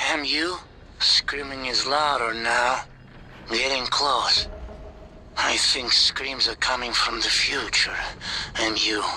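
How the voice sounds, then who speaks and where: A haunted, tormented man speaks very slowly in brooding fragments. His voice is mid-pitched with a piercingly bright edge, in dramatic game style. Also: a little hiss or hum, heavily acted, rough recording. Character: haunted, tormented man sliding into visions of screaming. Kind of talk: scripted video game acting.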